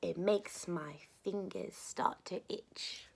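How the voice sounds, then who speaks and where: A woman voicing a gangly, greedy male crook who sounds eager and drawn-out. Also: lowish voice, creaky, breathy edge, exaggerated voice.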